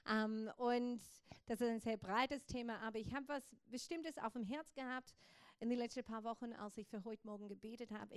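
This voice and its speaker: An Australian woman in her thirties preaches in German, a language she learned, with English as her first language. She talks in a chatty way to the congregation and full of hesitations, then drops into soft, slow prayer. Her voice is close and dry, at a middle pitch. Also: smooth, no room sound. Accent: Australian, speaking German as a learned language. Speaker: pastor, guest speaker. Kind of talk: casual sermon and prayer to a congregation.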